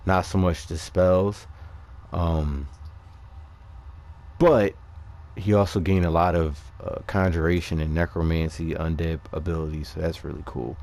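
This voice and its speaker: A man giving casual, unscripted gameplay commentary, haltingly thinking aloud as he explains things. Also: deep voice, darkish tone. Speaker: gamer presenting a character build.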